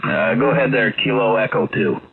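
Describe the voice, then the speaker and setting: A man chatting casually as an amateur radio operator, speaking haltingly with drawn-out pauses, heard over a radio link. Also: fairly low pitch. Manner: halting, hesitant delivery with many drawn-out pauses. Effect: received over shortwave radio. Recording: narrow-band radio signal, faint static.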